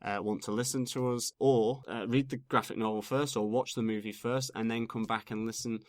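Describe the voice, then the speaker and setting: A man co-hosts a casual podcast with a mid-pitched voice that is nasal from a head cold. He talks in a steady, plain, explanatory way. The recording is dry and close. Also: a little fast, no room sound. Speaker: podcast co-host.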